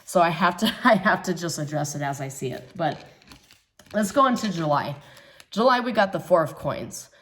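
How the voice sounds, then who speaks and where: A hoarse woman reads tarot in a loose, thinking-aloud way, her voice sitting a little low. She hesitates often with fillers, then presses her points with firm conviction. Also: animated, normal speed, fairly bright tone. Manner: musing, hesitant with many ums, emphatic about others' jealousy. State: hoarse from recovering illness. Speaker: tarot reader. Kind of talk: casual tarot reading monologue.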